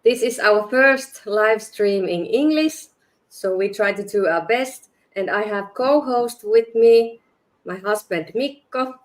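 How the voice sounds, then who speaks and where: A woman's voice: mid-range voice, takes it slowly. A woman hosting a live stream, carefully welcoming the audience and her guest. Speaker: host.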